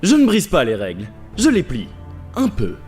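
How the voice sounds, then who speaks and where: A man's voice: a little high, huge pitch swings, normal speed, a little mellow, faint music behind, voice pushed up. Man playing a cocky, terse action hero. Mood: cocky menace. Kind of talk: amateur fandub character acting.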